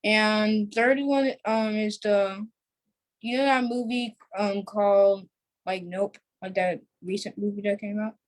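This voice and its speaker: Female guest, casual and unsure, pausing often to search for the next word. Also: mid-range voice, fairly even intonation. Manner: hesitant, searching for words. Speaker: young cast member, interview guest. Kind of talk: casual chat.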